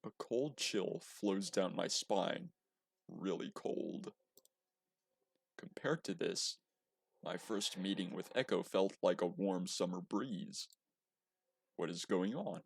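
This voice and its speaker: Man reading as a slow-paced, wry student. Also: deep voice, vocal fry, breathy edge, pitched below the performer's own voice, heavily acted. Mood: uneasy. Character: young male student protagonist, wry and easygoing. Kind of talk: first-person visual novel reading. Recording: music behind the voice.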